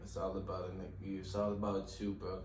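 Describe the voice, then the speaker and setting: A man's voice: low-pitched, monotone, fairly quick, darkish tone. A man in his early twenties chatting casually as a podcast host, peppering his talk with slang and "bro". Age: 20s. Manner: slangy, says bro constantly. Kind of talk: casual chat. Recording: echoey room from far off with faint hiss.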